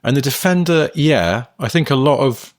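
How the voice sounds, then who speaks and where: A man's voice: mid-range voice, lively intonation, normal speed, dry recording, clean studio sound. A man co-hosting a podcast in casual chat, musing and rambling with lots of hedging. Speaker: podcast co-host.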